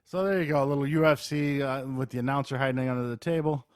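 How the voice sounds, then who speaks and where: A man's voice: mid-range voice, steady tone, fast talker, smooth, no echo, clean studio sound. A man co-hosting a podcast, introducing entertainment news in casual, conversational chat. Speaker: podcast co-host.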